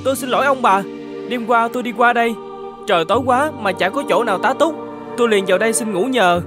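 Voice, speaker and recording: High-voiced naive young vagrant. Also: male voice, quick pace, music in the background. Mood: apologetic. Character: naive, scrappy young vagrant lad on the run. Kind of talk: animated folk-tale cartoon acting.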